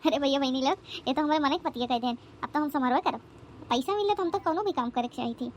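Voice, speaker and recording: Lady doing cheeky awadhi bride in a cartoon, really high voice, flirty, bit of noise behind. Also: quick pace, close to an everyday voice. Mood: coaxing, eager. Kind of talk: rustic comedy cartoon acting.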